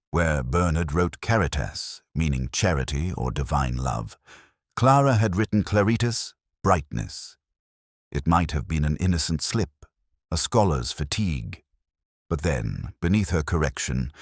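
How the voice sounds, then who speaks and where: A man reading a scripted first-person detective story in a measured, deliberate, brooding way. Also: deep voice, no room sound, clean studio sound. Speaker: narrator.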